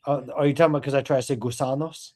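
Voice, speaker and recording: A man's voice: mid-range voice, fairly even intonation, very fast, mellow. Playful joking sports-show man. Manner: playful, jokey, over-enunciates for laughs. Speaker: co-host. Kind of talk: casual sports chat.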